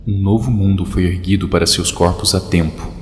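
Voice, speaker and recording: A man playing a vast, calm, all-knowing computer in an audio drama, speaking in a measured, impersonal way. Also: deep voice, a little hiss or hum, lightly acted. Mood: impassive.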